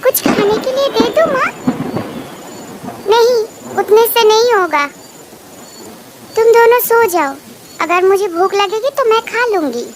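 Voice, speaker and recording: A man voicing a village mother and her young daughters in a shrill, childlike cartoon story voice. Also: expressive, unhurried, pauses often. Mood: gentle, domestic. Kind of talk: cartoon horror story dialogue. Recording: clear background noise behind.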